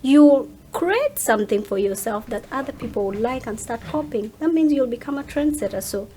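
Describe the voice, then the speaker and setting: A woman in her thirties with a slightly high voice, speaking as a guest in a casual chat. She is emphatic and often repeats a phrase to press it home. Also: animated, normal speed, warm tone, slight background noise. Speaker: interview guest.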